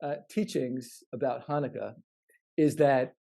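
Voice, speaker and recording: A man co-hosting a casual podcast, speaking earnestly and haltingly, often repeating words as he thinks. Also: mid-range voice, creaky, dark tone. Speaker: podcast co-host.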